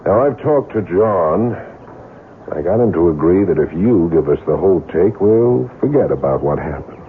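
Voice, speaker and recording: An actor playing a menacing gangster in a radio drama, mockingly genial, with taunting, drawn-out tough-guy talk. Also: deep voice, lively intonation. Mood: coolly firm. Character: menacing male gangster collector, mockingly genial, coolly threatening. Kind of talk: 1970s radio drama acting. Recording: noisy muffled old radio broadcast.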